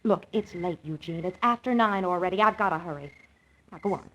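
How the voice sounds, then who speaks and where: A woman's voice: lowish voice, brisk, natural voice, poor audio. Actress as cool, impatient scheming American woman. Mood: coaxing, impatient. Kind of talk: 1940s radio drama acting.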